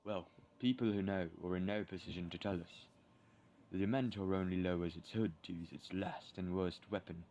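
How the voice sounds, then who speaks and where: A man playing a gentle, thoughtful schoolteacher in an audio drama, with a measured, kindly way of talking. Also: low voice, fairly even intonation, muffled recording, slight background noise, lightly acted. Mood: grave. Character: gentle, thoughtful male schoolteacher mentoring a pupil. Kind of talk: fan audio drama acting.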